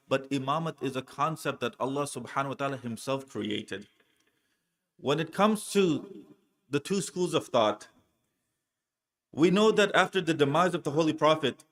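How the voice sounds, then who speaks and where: Higher-voiced man preaching emphatically. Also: fairly bright tone. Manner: emphatic, deliberate, repetitive oratory. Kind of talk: religious lecture, rhetorical questions.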